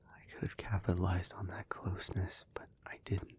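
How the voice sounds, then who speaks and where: A man playing an earnest, guilt-ridden demon in love, speaking in a hushed, breathy, halting voice in an intimate audio roleplay. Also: low voice, completely flat delivery, natural voice. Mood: pleading, earnest. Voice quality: breathy, husky, whispery. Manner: slow, halting, hushed delivery with pauses.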